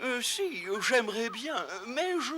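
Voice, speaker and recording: An actor voicing a timid, naive little male mole in an animated film dub, politely hesitant. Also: medium pitch, unhurried, a little hiss or hum. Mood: shy, flustered. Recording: music behind the voice.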